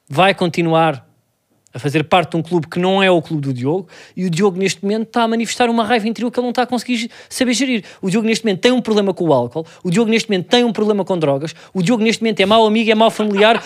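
Fast-talking Portuguese man, mock-formal teasing. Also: sits high. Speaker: podcast co-host. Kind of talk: comic sports chat.

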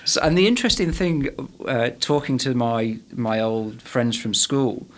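Manchester man, 40s, podcast guest; halting, thoughtful delivery. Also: medium pitch, expressive. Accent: Manchester English. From England. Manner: hesitant, many restarts and fillers. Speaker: guest, head of a management body. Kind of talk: podcast interview.

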